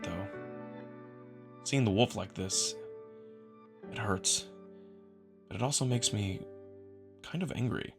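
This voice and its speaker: A man's voice: lowish voice, fairly bright tone. A man reading dramatic scripted first-person narration as the narrator of a visual novel.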